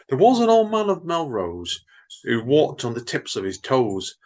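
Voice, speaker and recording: A man's voice: medium pitch, a little mellow. A man, co-hosting a podcast, reading stories and limericks aloud and chatting with wry teasing. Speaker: podcast co-host and reader. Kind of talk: reading poems and casual chat.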